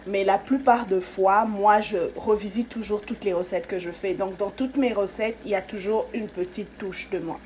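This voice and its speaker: Brief: woman cooking-channel host from Congo-Kinshasa, mid pitch, casual step-by-step chat, faint kitchen noise. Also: fairly even intonation, normal speed, few pauses, darkish tone. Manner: chatty, step-by-step, many fillers.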